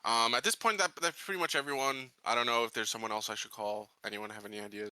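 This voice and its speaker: A man's voice: mid-range voice, fast, pushed and bright, dry recording, rough recording. A man playing a tabletop game, mixing improvised roleplay with casual table talk and hesitantly thinking aloud.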